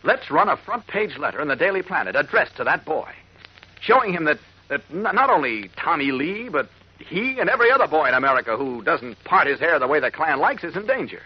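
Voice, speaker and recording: An actor playing an earnest, level-headed newspaper reporter with a husky voice in a 1940s radio drama. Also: male voice, on the high side, very animated, fairly quick. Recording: muffled vintage radio recording.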